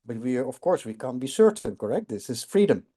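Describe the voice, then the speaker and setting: A man's voice: mid-range voice, sing-song, fairly quick, dark tone. A middle-aged man from the Netherlands, a guest explaining things on a video call and often checking in with "correct?". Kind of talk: casual call conversation.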